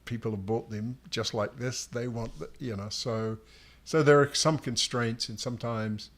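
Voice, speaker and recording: A man co-hosting as an author, explaining things to readers in a casual, halting way. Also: medium pitch, steady tone. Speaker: author and livestream co-host.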